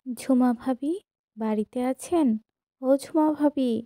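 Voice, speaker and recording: A woman's voice: high-pitched, takes it slowly, warm tone. A woman playing a worried, dutiful village wife in a cartoon, plaintive and pleading. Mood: calling out. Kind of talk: cartoon voice acting.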